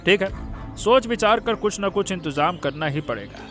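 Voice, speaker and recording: A man playing a gruff, scornful village farmer, the grown son in a cartoon story, speaking curtly and sarcastically. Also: high-pitched, animated, moderate pace, over music. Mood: grudging, wary. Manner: curt, sarcastic, nagging. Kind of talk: Hindi moral cartoon story dialogue.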